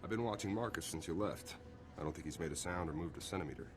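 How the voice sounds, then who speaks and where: An actor playing an easygoing, loyal military captain in a video game, casual, friendly and a little wry. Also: male voice, sits low, fast talker, close to an everyday voice. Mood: sympathetic. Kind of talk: video game cutscene acting. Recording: game soundtrack music behind.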